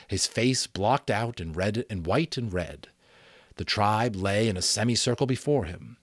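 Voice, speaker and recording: A man narrates a story in a dramatic, theatrical style. His voice is fairly low and moves through a wide range of pitch, expressive throughout, recorded close and dry with no room sound. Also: husky, dry recording. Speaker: audiobook reader.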